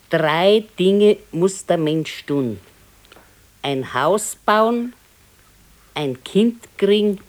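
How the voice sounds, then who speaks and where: An actress playing a practical, contented older wife in a naturalistic radio play, speaking matter-of-factly with a Bavarian accent. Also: female voice, low voice, exaggerated voice. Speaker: radio drama actor. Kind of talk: naturalistic 1970s radio play dialogue in dialect.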